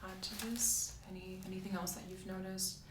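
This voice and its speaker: A soft-spoken woman playing a doctor in an ASMR medical roleplay, gently asking questions and giving step-by-step exam instructions. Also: medium pitch, flat delivery, normal speed. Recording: roomy echo, clear background hiss.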